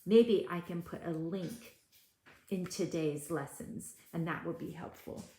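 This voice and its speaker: Slow-paced woman teacher in an echoey room. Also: fairly low pitch, mellow. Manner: talks to young children, questioning. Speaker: elementary school teacher. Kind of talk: children's read-aloud lesson.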